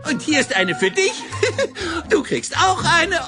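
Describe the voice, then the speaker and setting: Excitable, high-pitched giggly little ghost. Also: female voice, slow pace, music in the background, exaggerated voice. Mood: giggly, cheerful. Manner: theatrical, giggling, dramatic delivery. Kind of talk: children's audio drama acting.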